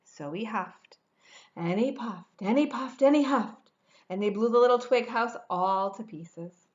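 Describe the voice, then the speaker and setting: A woman's voice: on the high side, unhurried, darkish tone, lo-fi sound. Woman reading a storybook with dramatic emphasis. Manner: dramatic emphasis, stretched words. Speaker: children's storyteller. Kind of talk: expressive storybook read-aloud. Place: indoor room at home.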